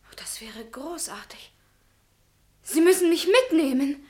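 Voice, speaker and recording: An actress in a radio play playing an ardent, headstrong young student who speaks with passionate challenge. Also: female voice, high voice, animated, a little slow. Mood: longing. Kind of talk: German radio drama acting.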